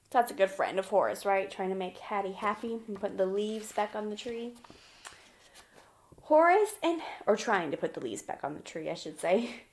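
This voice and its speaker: A woman teacher reading a children's picture book aloud and talking it through simply, often putting questions to the young listener. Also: medium pitch. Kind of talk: children's storybook read-aloud and lesson.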